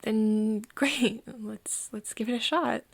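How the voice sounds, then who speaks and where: A woman playing a frightened but stubborn human confiding in a vampire friend in an intimate ASMR audio drama, with a husky, breathy voice and hesitant delivery. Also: a little high, full character voice. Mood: hopeful, curious. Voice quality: breathy, husky, often dropping to a whisper. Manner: hesitant, halting, stammering over words.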